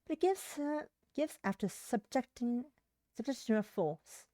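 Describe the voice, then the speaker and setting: A woman's voice: a little high, lively intonation, moderate pace, mellow, dry recording, studio quality. A woman livestreaming a game, reading its story text aloud with casual comments and occasional stumbles over words.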